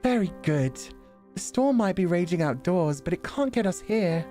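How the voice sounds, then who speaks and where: A doting young princess from a visual novel, acted out by a man, pitched well up from his usual voice. The manner is soft, tender and gently possessive, with formal storybook wording over a music bed. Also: lively intonation, normal speed. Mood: tender, reassuring.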